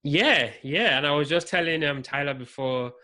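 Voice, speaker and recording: A man's voice: a little high, normal speed, pushed and bright. A man with a light voice hosting an interview, asking questions in a friendly way with many fillers. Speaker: podcast host.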